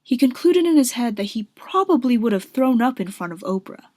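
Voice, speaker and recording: A woman's voice: fairly high pitch, big pitch swings, brisk, a little mellow, no echo. A woman reading scripted narration for a radio drama.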